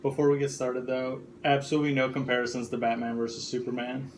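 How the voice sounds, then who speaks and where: A man's voice: medium pitch, monotone, quick pace, noisy background. A man co-hosting a casual film discussion podcast, speaking bluntly and swearing freely. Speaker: podcast co-host.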